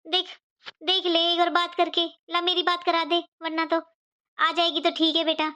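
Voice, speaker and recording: A woman playing a high-pitched, fussing cartoon mother-in-law. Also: monotone, normal speed, bright, forceful tone. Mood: urging. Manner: fussing, insistent, repeats herself. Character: fretful, caring middle-aged mother-in-law. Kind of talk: animated family drama dialogue.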